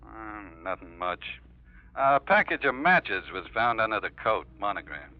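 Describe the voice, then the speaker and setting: An actor playing a laconic police lieutenant with an American accent and a deadpan delivery in an old radio drama. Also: male voice, lowish voice, measured pace. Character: dry, unhurried male police lieutenant. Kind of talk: 1940s-50s radio detective drama acting. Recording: dull vintage radio recording.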